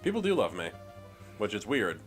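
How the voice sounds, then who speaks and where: An easygoing man co-hosting a gaming podcast, chatting along in a relaxed, agreeable way. His voice is on the low side, with soft music behind him. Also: normal speed. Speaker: podcast co-host. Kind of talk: casual gaming chat.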